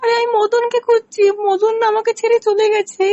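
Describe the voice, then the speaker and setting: Squeaky, very high whiny woman. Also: flat delivery, fairly quick, dark tone, no echo. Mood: whiny, pleading. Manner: pleading, insistent, rambling. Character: pestering, whiny village woman hunting her runaway lover. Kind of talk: prank phone call. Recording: muffled lo-fi phone call recording.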